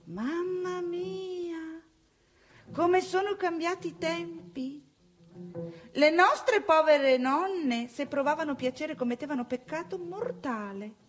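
An actress playing a prim, self-righteous middle-class wife and mother in a satirical stage monologue, with affected, emphatic delivery and drawn-out words. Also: extremely high pitch, faint music behind. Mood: indignant, sarcastic.

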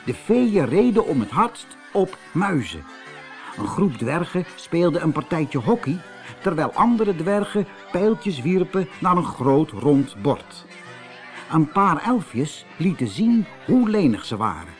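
A man narrating a fairy tale for children as an audiobook reader, in an expressive storytelling manner. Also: a little high, slow pace, soft background music.

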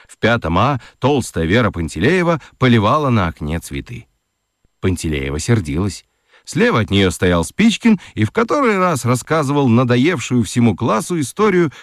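A man narrating a children's radio play with expressive storytelling. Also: fairly low pitch, no room sound, studio quality.

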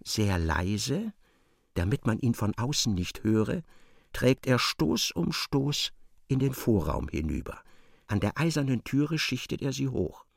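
An actor narrating a literary radio play as a grave, intense storyteller with deliberate delivery. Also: male voice, mid-range voice, sing-song, creaky, no echo, studio quality, full character voice.

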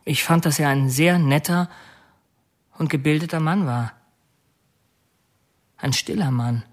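Brooding killer confessing in halting detail. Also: male voice, fairly high pitch. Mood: grim, confessing. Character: confessing male killer, bitter, brooding, oddly soft-hearted. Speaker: actor narrating as a murderer. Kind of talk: radio drama confession monologue.

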